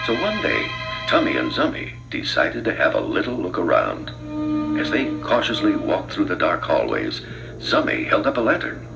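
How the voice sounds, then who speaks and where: A male narrator tells a children's storybook tale with very animated, dramatic intonation. His voice has some creak to it, and prominent music plays underneath. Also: deep voice, moderate pace. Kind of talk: scripted read-along children's narration.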